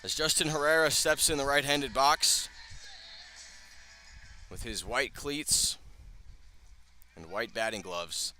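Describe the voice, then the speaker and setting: Man, live baseball radio commentary, crisp forceful tone, medium pitch, dramatic emphasis. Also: takes it slowly, long pauses, soft background music. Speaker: baseball play-by-play announcer. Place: outdoor ballpark broadcast.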